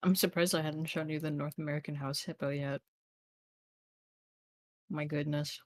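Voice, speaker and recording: A woman's voice: low voice, fairly even intonation, normal speed. A woman from Canada chatting casually on a livestream. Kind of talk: casual chat.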